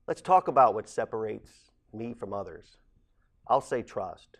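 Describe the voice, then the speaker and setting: Late-40s man, somewhat low voice, formal and earnest, local candidate addressing viewers. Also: very animated, measured pace. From Ohio, USA. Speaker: council president candidate. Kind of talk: campaign statement to camera.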